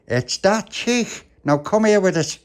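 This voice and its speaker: Man voicing a high, excitable little puppy. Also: measured pace. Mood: gushing, affectionate. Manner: gushing, repeats words eagerly. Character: excitable little puppy, affectionate, bossy child-like friend.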